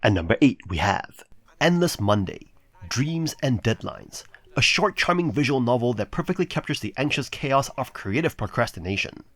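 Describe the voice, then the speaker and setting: A man's voice: medium pitch, sing-song, moderate pace, a bit bright, no echo. A man narrating scripted video game reviews as a reviewer, with a polished, promotional delivery.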